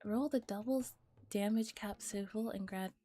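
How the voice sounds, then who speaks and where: A woman streamer softly reading a visual novel aloud in a relaxed ASMR style and chatting casually with her viewers. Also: medium pitch, measured pace. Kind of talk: soft ASMR reading with casual chat and commentary. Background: music.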